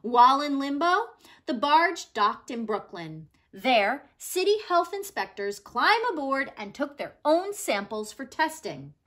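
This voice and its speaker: A woman narrating a read-aloud story expressively, with dramatic pauses and emphatic stresses. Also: high voice, sing-song, fairly bright tone. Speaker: reader of a children's non-fiction book.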